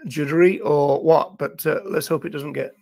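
An older man hosting a casual livestream, talking to viewers in a hesitant, halting way with drawn-out pauses. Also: fairly high pitch, steady tone, gravelly, a little mellow. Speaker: livestream host. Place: small indoor room at a desk.

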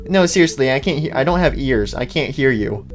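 A woman voicing a dim, cheeky, excitable female animatronic chicken in an improvised comedy roleplay. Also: extremely low pitch, a little fast, faint music behind. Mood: curious, dramatic.

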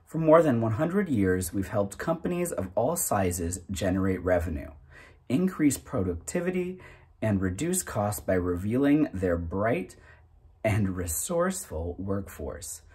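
Male reader, 30s, very husky voice, deliberate and emphatic delivery of self-help text with animated pitch. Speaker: narrator reading a book aloud. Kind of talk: reading aloud from a self-help booklet.

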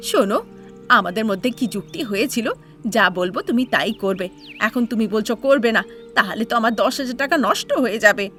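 A woman's voice: mid-range voice, brisk, fairly bright tone, soft background music, natural voice. A woman voicing a scheming, bossy middle-aged village aunt in a cartoon tale, coaxing and giving orders. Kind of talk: cartoon fairy-tale character acting.

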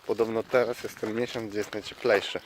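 Low-voiced man vlogging in noisy outdoors. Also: flat delivery, a little fast. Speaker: travel vlogger. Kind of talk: casual vlog chat, unscripted. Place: outdoors by a lake. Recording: noisy outdoor recording with clicks.

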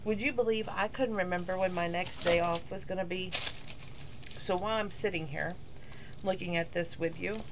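Slightly hoarse chatty woman. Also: on the low side, moderate pace, noisy background. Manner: rambling, chatty, with many fillers. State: slightly hoarse, losing her voice. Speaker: vlogger. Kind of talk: casual talking-to-camera vlog.

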